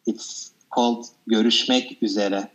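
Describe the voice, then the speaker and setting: A young man from Turkey speaking English with a Turkish accent, chatting as an interview guest with hesitant pauses and light laughs. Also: on the low side, steady tone, a bit bright, poor audio. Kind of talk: casual video-call chat.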